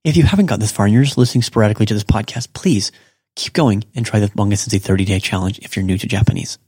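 Upbeat male podcast teacher, low voice, slows down to repeat examples. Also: mellow, breathy edge, no room sound, clean studio sound. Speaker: podcast host and Japanese teacher. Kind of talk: casual spoken language lesson.

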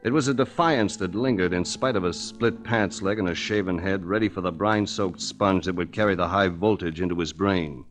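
An actor playing a sober, world-weary investigator, grave and measured, in a 1940s hard-boiled radio drama. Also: male voice, low voice, soft background music, close to an everyday voice. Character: sober, world-weary male investigator telling his case.

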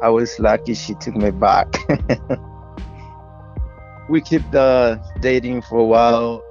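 A man from Honduras with a Honduran Spanish accent, speaking English as a second language, slowly and haltingly recalling his life in an interview. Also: medium pitch, muffled recording, faint music behind. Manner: slow, halting, with pauses and soft laughs. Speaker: interviewee, widower. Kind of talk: personal recollection in an interview.

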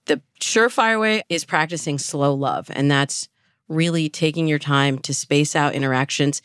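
A woman's voice: low voice, moderate pace, fairly bright tone, clear, resonant tone, dry recording, clean studio sound. A woman speaking as a dating coach and interview guest, explaining things conversationally and with emphasis. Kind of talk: conversational expert advice.

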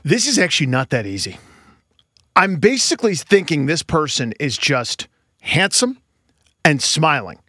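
A man's voice: on the high side, big pitch swings, a little slow, sharp tone, breathy edge, dry recording, studio quality. A man co-hosting a sports podcast with casual, wry, riffing banter. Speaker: podcast co-host.

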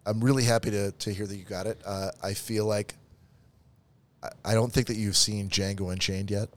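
A man's voice: on the low side, level tone, normal speed. A man in casual conversation about a movie, often restarting and repeating his words. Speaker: podcast co-host.

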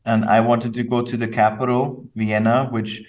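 Young austrian guy, grew up with english and german, talks it through slow and careful, sounds a bit far from the mic, medium pitch. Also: little pitch movement, a little mellow. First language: German and English, bilingual. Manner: thoughtful, measured, with fillers and restarts. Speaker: company co-founder, guest. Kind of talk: casual interview answers.

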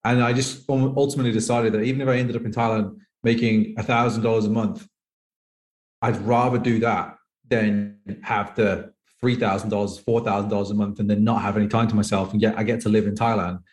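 Fast-talking man from the UK, thirties. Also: lowish voice, flat delivery. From United Kingdom. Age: 30s. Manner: rambling, heavy use of "like". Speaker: professional poker player guest. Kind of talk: casual interview chat. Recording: echoey room.

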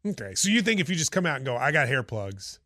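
A man's voice: mid-range voice, big pitch swings, fast talker, pushed and bright, dry recording, clean studio sound. A man hosting a podcast in a casual, bantering style. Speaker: podcast host.

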